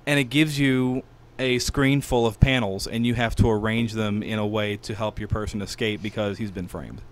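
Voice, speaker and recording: A man's voice: lowish voice, steady tone, moderate pace. A man talking casually as a podcast co-host, close on a studio mic. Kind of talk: casual chat. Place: small podcast studio room. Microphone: close studio mic with headphones.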